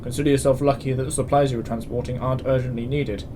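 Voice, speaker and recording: A man's voice: mid-range voice, steady tone, fast talker, close to an everyday voice. Stern, exasperated commandant acted by a man, noisy background. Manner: curt, authoritative. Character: stern, exasperated male space station commandant. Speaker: military commandant. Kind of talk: science-fiction audio drama acting. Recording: clear background ambience noise.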